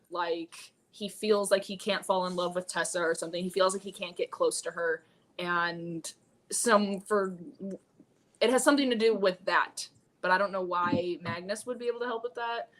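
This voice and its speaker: A woman's voice: mid-range voice, fairly bright tone. A woman chatting casually and informally as a guest host on a book discussion live stream, exclamatory and often feeling her way through thoughts. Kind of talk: casual chat. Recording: clearly noisy room ambience.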